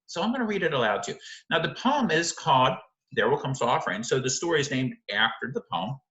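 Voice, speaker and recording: Male lecturer, bright and emphatic, roomy echoing sound, conversational teaching style with frequent rhetorical check-ins. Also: medium pitch, lively intonation, fairly quick. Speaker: literature teacher. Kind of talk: informal video lecture analysing a short story.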